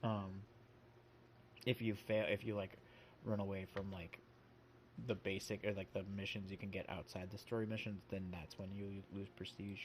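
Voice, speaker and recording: A man's voice: low voice, level tone, moderate pace, a little hiss or hum. A man hosting a casual let's play, rambling and correcting himself as he explains the game, a bit sniffly from allergies. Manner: rambling, self-correcting, lots of fillers. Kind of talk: casual gameplay commentary.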